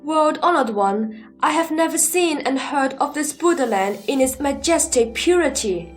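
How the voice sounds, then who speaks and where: High-pitched woman as a respectful, questioning disciple. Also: lively intonation, normal speed, faint music behind. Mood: reverent. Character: Sariputra, questioning, respectful male disciple of the Buddha. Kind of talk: scripted sutra audio drama.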